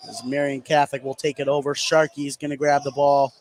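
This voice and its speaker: A man calling live high school basketball on the radio as play-by-play commentator, getting excited on big plays. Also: fairly quick. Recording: gym noise behind the voice. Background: gym ambience, bouncing basketball.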